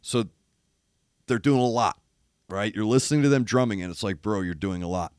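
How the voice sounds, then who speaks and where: Hoarse, gravelly man talking drums. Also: on the low side, moderate pace, dry recording, studio quality. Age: older. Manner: animated, emphatic, imitates drum hits. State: losing his voice, gravelly. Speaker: host of a music reaction show. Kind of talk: casual music commentary. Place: studio in east Nashville.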